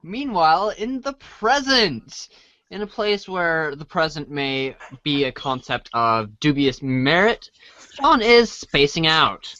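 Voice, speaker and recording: A man's voice: fairly high pitch, very animated, slow, pushed and bright, dry recording, highs cut off. A man narrating a scripted read-along storybook in the second and third person.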